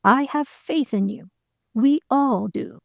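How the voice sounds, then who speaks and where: A woman's voice: high voice, takes it slowly, creaky, no room sound, pitched above the performer's own voice, full character voice. A woman voicing a wise male spirit guide who speaks in a solemn, encouraging way.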